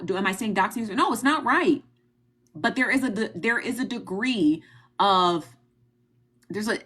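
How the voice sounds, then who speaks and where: A woman's voice: medium pitch, fairly bright tone. A woman with a Midwestern accent hosting a live stream, talking emphatically and directly to her viewers and repeating her points. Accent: Midwestern American. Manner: emphatic, repetitive, talks straight to her viewers. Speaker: livestream host. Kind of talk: casual livestream commentary.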